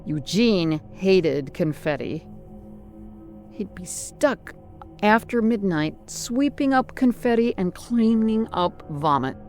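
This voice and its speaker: A narrator delivers scripted horror drama in short, clipped phrases. The reading is slow and deliberate, at a middling pitch, with music in the background. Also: animated, a little mellow.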